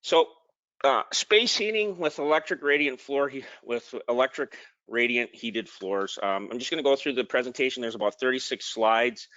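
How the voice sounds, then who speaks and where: A man's voice: mid-range voice, normal speed, pushed and bright, no echo, lo-fi sound. A man presenting a technical slide talk in an informal way, with frequent ums and restarted words. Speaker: product manager presenting.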